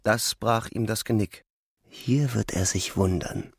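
An actor playing a disillusioned young soldier who narrates a German radio drama in a reflective, sombre way. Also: male voice, on the low side, unhurried, gravelly, breathy edge, no room sound, studio quality, heavily acted. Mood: tense narration.

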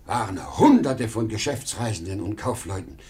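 An older man acting a proud, nostalgic, pleading travelling salesman in a 1950s German radio play, emotional and halting. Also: lowish voice, very animated, crowd noise. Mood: nostalgic, fervent. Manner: emotional, halting when pleading, repeating himself.

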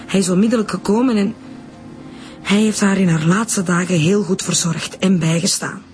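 An actress with a Flemish accent playing a firm, defensive, grieving mistress in a radio drama. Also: female voice, mid-range voice, normal speed, a little mellow, faint music behind, natural voice. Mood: defensive, earnest. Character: dead doctor's defensive, grieving secretary and mistress.

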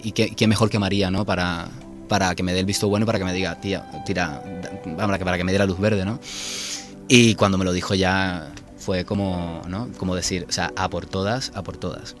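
Low-voiced Cuban man, halting. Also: little pitch movement, over music. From Cuba. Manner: hesitant, repeats words, laughs often. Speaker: author guest. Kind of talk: radio interview chat.

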